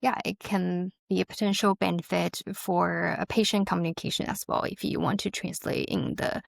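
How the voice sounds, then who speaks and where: Female interview guest, mid-range creaky voice, conversational and affirming product explainer, dry room. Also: on the low side, fairly even intonation, moderate pace, no room sound. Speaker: company representative, interview guest.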